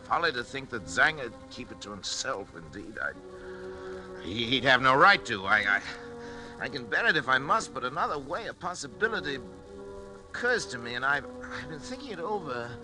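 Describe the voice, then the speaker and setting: An actor playing a tormented, obsessed middle-aged surgeon and father in a suspense radio drama, speaking in a halting, agitated stammer. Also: medium pitch, very animated, close to an everyday voice. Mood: anguished. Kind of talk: 1940s suspense radio acting. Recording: old radio recording, music behind.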